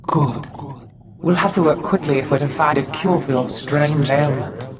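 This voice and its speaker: An actor playing an earnest, dutiful scholarly Sith aide in a game, measured and formal. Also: male voice, a little high, crowd noise, lightly acted. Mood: measured, concerned.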